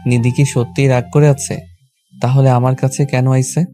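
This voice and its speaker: Bright, even-toned Bangladeshi male storyteller. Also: mid-range voice, moderate pace, faint music behind. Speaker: narrator and lead character of a romantic audio story. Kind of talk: scripted first-person story narration with acted dialogue.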